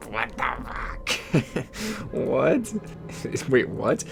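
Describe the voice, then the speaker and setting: A man whose first language is Igbo, hosting a casual vlog in an excitable, swearing, often disbelieving way. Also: mid-range voice, extremely slow, gravelly, over music. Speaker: YouTube host.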